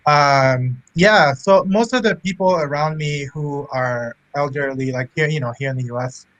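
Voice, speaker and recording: A man's voice: fairly high pitch, moderate pace, fairly bright tone, dry recording, low-quality recording. A young man from Cameroon, a medical student guest, chatting casually over a video call with many fillers. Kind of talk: casual interview talk.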